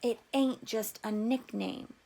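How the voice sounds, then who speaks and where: A woman's voice: on the high side, fairly even intonation, takes it slowly, creaky, warm tone, slight background noise. A woman voicing a tough teenage camp boy in a storybook reading, curt, slangy and defiant. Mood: cocky.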